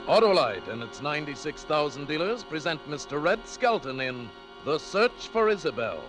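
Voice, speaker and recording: A male announcer delivers a dramatic 1940s radio introduction. His intonation is very animated, with music clearly playing behind. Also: a little high, moderate pace.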